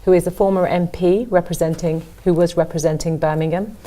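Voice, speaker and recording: A woman's voice: lowish voice, steady tone, fairly quick, mellow. A woman hosting an interview show, welcoming her guest and asking questions. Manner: welcoming, brief acknowledgements. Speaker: show host.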